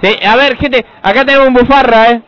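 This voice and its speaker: A man playing a brusque, sarcastic office clerk with an Argentine accent, curt and deadpan, in an improvised radio comedy sketch. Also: extremely high pitch, brisk, over a noisy crowd. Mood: loud, outraged. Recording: dull, muffled live radio recording.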